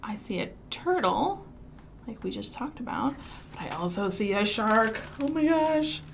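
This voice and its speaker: A woman from the American Midwest leading a storytime for young children as a librarian, chanting rhymes and songs in a sing-song way with big excited swoops. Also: a little high, a little slow, vocal fry, a little hiss or hum.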